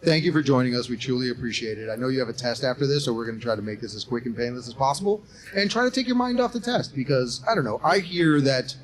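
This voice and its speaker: A man's voice: medium pitch, expressive, rough recording. A man in his forties co-hosting a live podcast on a college campus, upbeat and laughing often. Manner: upbeat, laughs often, rushes through explanations. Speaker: podcast co-host and game host. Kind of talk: casual live podcast chat.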